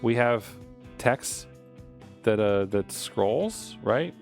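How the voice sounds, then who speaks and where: A man's voice: mid-range voice, lively intonation, very slow, soft background music. A man streaming live as he codes, talking casually and unscripted, rambling as he thinks aloud. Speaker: live streamer coding a game.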